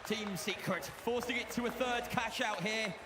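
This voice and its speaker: A man giving live play-by-play commentary on an esports match, building to loud calls at the big plays. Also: high voice, crowd noise. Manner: fast, rising to loud shouts at big plays. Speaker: esports caster.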